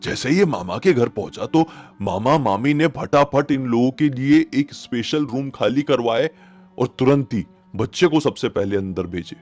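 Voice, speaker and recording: A man's voice: medium pitch, normal speed. A man telling a horror story informally, in a conversational and dramatic retelling that speaks straight to the listener. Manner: animated retelling, addresses listeners directly, repeats phrases for suspense.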